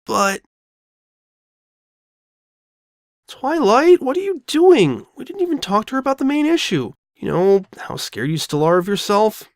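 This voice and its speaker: A loyal young boy dragon as a man acts him out speaks frankly and casually. The pitch is fairly high and moves about in an animated way. It is a youthful cartoon-fantasy part, recorded dry. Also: normal speed, no echo. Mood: insistent. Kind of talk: dramatic fan fiction reading.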